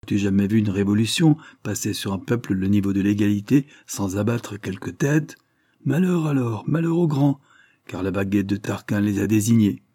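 A man's voice: lowish voice, normal speed, warm tone, husky, dry recording, studio quality. Man playing a menacing, mocking revolutionary official. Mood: scornful oratory. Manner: ironic, contemptuous, declamatory.

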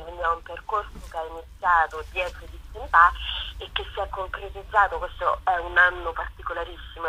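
A woman's voice: on the low side, pushed and bright. A woman speaking enthusiastically as a theatre company guest in a radio interview over the telephone. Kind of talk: radio interview, promoting a festival. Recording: noisy telephone line on radio.

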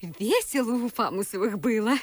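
An actress playing a coquettish young wife in a radio verse comedy, cooing and teasing in a theatrical, gushing way. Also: female voice, on the high side, expressive, moderate pace.

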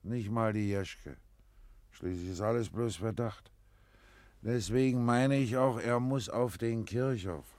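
An actor in a radio play as a sober, resigned village elder, measured and dryly philosophical. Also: male voice, fairly low pitch, a little mellow, no room sound, lightly acted. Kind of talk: German radio play acting.

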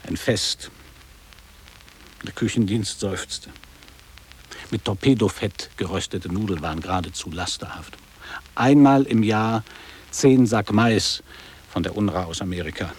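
A man's voice: on the low side, darkish tone, breathy edge. An actor playing a nostalgic middle-aged man in a radio drama, recalling boarding-school years in clipped, declamatory phrases. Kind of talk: literary German radio drama monologue.

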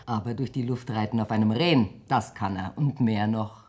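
An actress playing a worn, doting old peasant mother, husky and lamenting, declaiming in a radio verse drama. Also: rumbling low, moderate pace, darkish tone. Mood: wistful, rueful. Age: older.

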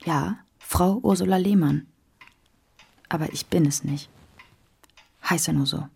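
A woman playing a weary, longing, unhappily married wife in a radio play, with a husky, breathy, hushed voice. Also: low-pitched, slow pace, heavily acted. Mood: resigned longing. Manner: hushed, brooding, often whispering. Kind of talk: expressionistic radio play acting.

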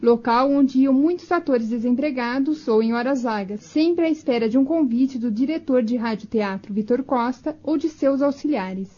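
Radio documentary narrator, female, Brazilian, high voice, slightly dull recording. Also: moderate pace, hardly any pauses, mellow.

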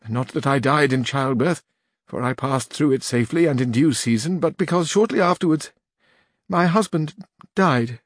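A man's voice: moderate pace, no echo, studio quality, lightly acted. A man reading the part of an elderly widowed duenna who tells her story at length and turns tearful. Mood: earnest. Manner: long-winded, earnest, rises higher when weeping. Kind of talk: audiobook narration.

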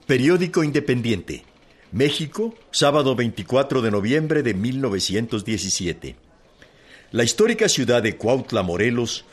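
An actor playing a formal, declamatory reader of an old newspaper report in a radio drama, with a Mexican accent. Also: male voice, mid-range voice, natural voice. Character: formal male reader of a 1917 government-side newspaper report. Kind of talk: radio drama news reading.

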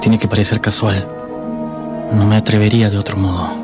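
A man's voice: lowish voice, flat delivery, a little fast, close to an everyday voice. A man playing a scheming adulterous lover in a Spanish-language radio drama. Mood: furtive, scheming. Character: scheming adulterous lover plotting murder, feigning grief. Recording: music and crowd ambience behind.

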